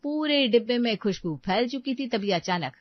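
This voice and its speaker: A woman narrating a moral story in a smooth, scripted storytelling way. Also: a little high, lively intonation, normal speed, no echo. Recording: dull dry voice-over.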